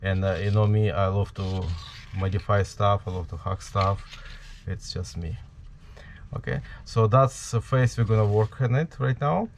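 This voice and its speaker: Ukrainian guy walking through a prop build, lowish voice, bit of hiss behind. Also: creaky. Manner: halting, many fillers, talks while working. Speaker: prop maker. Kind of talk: hands-on product tutorial.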